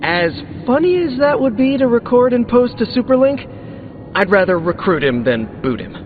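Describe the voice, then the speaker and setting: A man's voice: extremely high pitch, big pitch swings, normal speed, slight background noise. An actor playing an eager, awkward young male superhero in a video game, earnest, chatty and a little hesitant. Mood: sheepish. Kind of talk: video game dialogue acting. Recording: tinny band-limited game audio, music behind.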